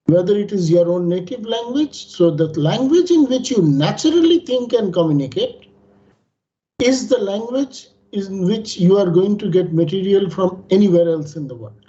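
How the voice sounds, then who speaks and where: A man who is a native Bengali speaker, making structured points on a panel in a measured, emphatic lecturing way. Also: high voice, expressive, mellow. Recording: dull lo-fi audio.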